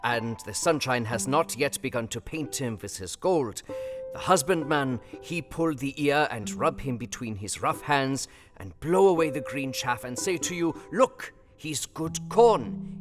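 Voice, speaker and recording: An actor playing a wise older professor in an audio drama, kindly and grave, with emphatic, halting counsel in foreign phrasing. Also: male voice, medium pitch, expressive, lightly acted. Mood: musing, playful. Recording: long reverb, music behind. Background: guitar music.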